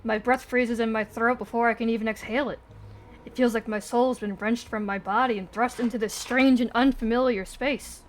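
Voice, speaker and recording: A woman's voice: on the high side, steady tone, brisk. A woman livestreaming a video game, mixing informal reactions with lines read aloud from the screen. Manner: informal, swears freely.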